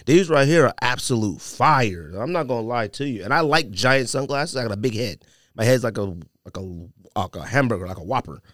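A man hosting a podcast, bantering casually with plenty of slang and emphatic drawn-out words. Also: mid-range voice, lively intonation, fairly bright tone, no room sound, studio quality. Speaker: podcast host. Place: podcast studio.

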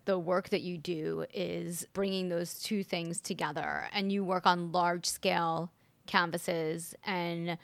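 A woman's voice: on the low side, steady tone, takes it slowly, vocal fry. A woman interviewing an artist, asking direct questions and giving short affirmations.

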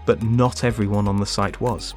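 A man reading scripted documentary narration in a measured way. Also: fairly low pitch, fairly even intonation, faint music behind.

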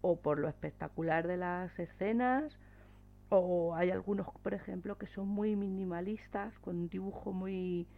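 A woman's voice: lowish voice, unhurried, creaky. A woman chatting casually and a little hesitantly as a podcast co-host. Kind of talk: casual book chat. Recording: thin phone-like audio.

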